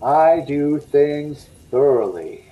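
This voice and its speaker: An actor playing an unrepentant, menacing escaped madman in old-time radio suspense style, speaking in a halting, deliberate way. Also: on the high side, lively intonation, phone-quality sound. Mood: grim, resolved. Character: escaped insane male killer, brooding, unrepentant, menacing. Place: indoor room at home, on a video call.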